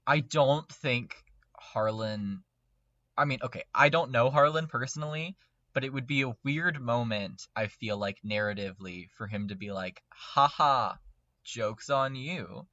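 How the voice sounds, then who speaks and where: A man chatting casually and excitably as a fan on a podcast, with an emphatic, animated manner. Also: mid-range voice, no room sound, muffled recording. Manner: animated, emphatic, quick to laugh. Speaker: podcast panelist.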